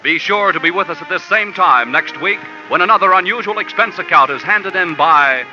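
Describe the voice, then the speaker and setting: Lively old-time network radio announcer. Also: male voice, sits high, a little fast. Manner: smooth formal credits and promo reading. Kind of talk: formal broadcast announcing. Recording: dull old radio recording with music.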